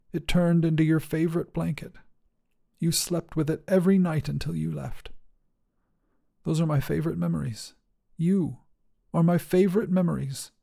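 A man voicing a dying father in a narrated story, weak and loving, with a labored, raspy, wheezing voice. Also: a little high, warm tone, voice pushed up.

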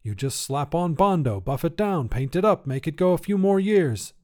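A man's voice: a little high, animated, normal speed, darkish tone, pitched above the performer's own voice, close to an everyday voice. A man voicing a cantankerous old grandfather, a folksy tinkerer who grumbles emphatically in a story reading. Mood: nostalgic grumbling. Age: older. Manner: folksy, grumbling, emphatic, rural turns of phrase. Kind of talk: acted character dialogue in short fiction reading.

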